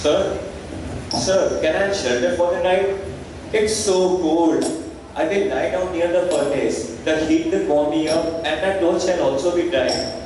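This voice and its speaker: A man's voice: a little high, measured pace, lightly acted. Young man acting a sly, self-pitying vagabond peddler, stage play, very echoey hall, distant noisy mic. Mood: pleading. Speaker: student actor in a school play.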